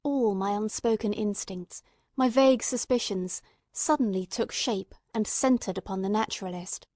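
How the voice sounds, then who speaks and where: A woman's voice: medium pitch, normal speed, a little mellow, dry recording, studio quality. A woman reading scripted literary narration as an audiobook narrator.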